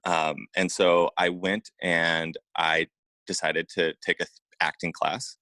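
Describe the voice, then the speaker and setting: A man from Oregon speaking as an interview guest, telling personal stories casually in an informal, halting way with repeated phrases. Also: deep voice, little pitch movement, bright, forceful tone, dry recording. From Oregon, United States. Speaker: interview guest, acupuncturist and massage therapist.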